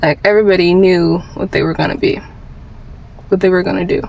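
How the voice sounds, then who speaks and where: A woman's voice: fairly low pitch, steady tone, fairly quick, creaky, a little mellow, poor audio. A twenty-year-old woman vlogging with candid personal advice in an informal, rambling, earnest way, repeating phrases for emphasis.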